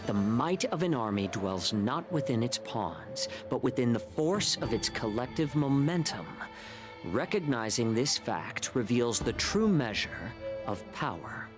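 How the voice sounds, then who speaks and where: A courteous, wry male military healer from a video game. His delivery is eloquent and theatrical, with lively swings of pitch and a sly edge. Faint game music plays behind him. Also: a little slow. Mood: measured, teasing. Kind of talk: scripted game voice acting.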